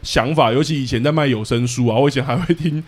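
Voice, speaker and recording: A man with a Taiwanese accent chatting casually as a podcast co-host, in an animated, self-deprecating, jokey way. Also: mid-range voice, fast talker. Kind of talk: casual chat.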